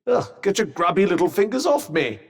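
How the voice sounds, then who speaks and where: Posh-mannered snarky british talking cuff from a video game, lively pitch swings, big reverb. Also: male voice, fairly quick, exaggerated voice. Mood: disdainful, droll. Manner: pompous, droll, formal wording. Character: haughty, sardonic talking magical cuff, refined male companion. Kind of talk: scripted video game companion dialogue.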